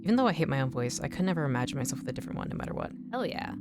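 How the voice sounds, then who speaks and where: A young woman streamer with a slightly raspy, nerdy voice, chatting casually and encouragingly to her viewers in a rambling way. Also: extremely low pitch, big pitch swings, racing. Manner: rambling, encouraging, lots of "like" and restarts. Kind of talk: casual chat to stream viewers while drawing. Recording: music playing in the background. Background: music playing.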